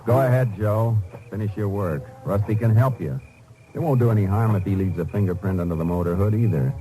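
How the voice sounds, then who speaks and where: Man acting a low-voiced, terse Texas Ranger. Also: brisk, dark tone, lightly acted, low-quality recording. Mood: stern, dry. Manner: terse, authoritative, dry. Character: calm, commanding male Texas Ranger investigator and narrator. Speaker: lead actor and narrator. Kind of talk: 1950s radio crime drama acting. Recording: old 1950s radio recording.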